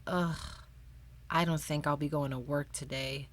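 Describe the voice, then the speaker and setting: A woman doing a gentle old zookeeper man. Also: on the high side, steady tone, unhurried, close to an everyday voice. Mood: weary. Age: older. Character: kindly elderly male zookeeper, gentle.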